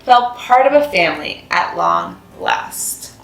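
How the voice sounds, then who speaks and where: A woman's voice: fairly low pitch, wildly swooping pitch, slow, vocal fry, fairly bright tone, slight background noise. A woman narrating a children's story expressively, as a read-aloud host. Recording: roomy echo, music behind.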